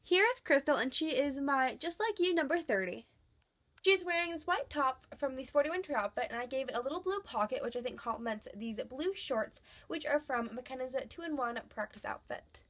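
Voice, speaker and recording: A woman's voice: high-pitched, animated, moderate pace, a bit bright. A young woman with a cold, narrating a chatty, upbeat voice-over as she shows her dolls' outfits. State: has a cold. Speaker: doll collector vlogger. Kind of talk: scripted voice-over showing doll outfits.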